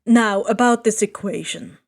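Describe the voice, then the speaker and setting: A woman voicing a laid-back teenage boy in a story, dry and low-key. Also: unhurried, vocal fry. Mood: dry teasing.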